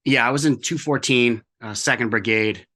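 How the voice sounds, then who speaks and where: A man's voice: medium pitch, normal speed, pushed and bright. A man in his forties from Massachusetts, a veteran guest speaking plainly with many fillers. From Massachusetts, near Boston. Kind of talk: casual interview chat.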